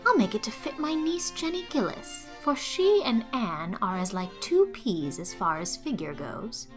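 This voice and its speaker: An actress playing a bossy, opinionated middle-aged village gossip who talks briskly and with great self-assurance. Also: female voice, fairly high pitch, sing-song, soft background music. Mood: helpful.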